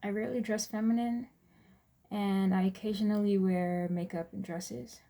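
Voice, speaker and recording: Mellow young American woman presenting. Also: mid-range voice, steady tone, normal speed. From US Virgin Islands and Texas, USA. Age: 20s. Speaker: student presenter. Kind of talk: prepared class presentation.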